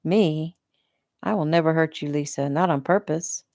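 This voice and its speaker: A woman reading the part of a protective boyfriend who speaks gently and soothingly. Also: low-pitched, moderate pace, dry recording, studio quality. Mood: tender.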